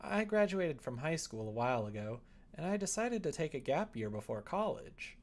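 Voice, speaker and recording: A man's voice: fairly high pitch, big pitch swings, normal speed, voice pushed up. A man voicing an easygoing, aimless but kind young woman in a visual novel, slightly sheepish. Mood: casual, sheepish.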